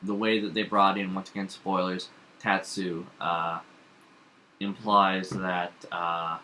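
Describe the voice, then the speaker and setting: A man's voice: sits low, level tone, takes it slowly, pushed and bright, a little hiss or hum. A young man co-hosting a casual chat about comics and TV, opinionated and hesitant, with frequent fillers. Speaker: podcast co-host.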